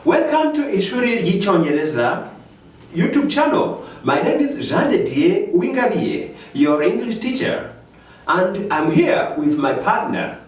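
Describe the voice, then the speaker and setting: A man with a Rwandan accent teaching English in a slow, careful way, switching into Kinyarwanda to explain. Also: high-pitched. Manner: slow, careful explaining, lively rising questions. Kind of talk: bilingual language lesson. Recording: echoey room, mic at a distance.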